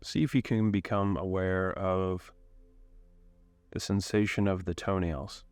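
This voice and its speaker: A man leading a guided meditation in a calm, unhurried way, giving gentle instructions with long pauses. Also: sits low, smooth, no room sound.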